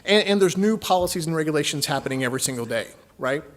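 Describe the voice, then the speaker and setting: A man's voice: on the high side, animated, quick pace. A man from Texas with a light Texan accent, giving a chatty, joking conference presentation to a session audience. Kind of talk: conference talk.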